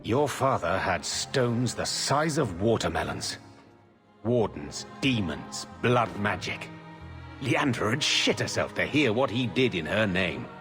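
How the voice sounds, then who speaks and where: Coarse, low-voiced older uncle in reverberant space. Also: male voice, very animated, takes it slowly. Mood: wry reminiscing. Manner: coarse, plain-spoken reminiscing. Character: coarse older uncle, gruffly sentimental family man. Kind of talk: video game drama acting. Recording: long reverberant tail, faint music behind.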